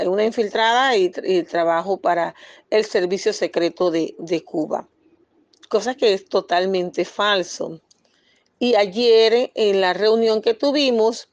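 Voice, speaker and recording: A woman's voice: medium pitch, no room sound. A woman from Panama, speaking as an activist in a firm, insistent press statement with occasional hesitations.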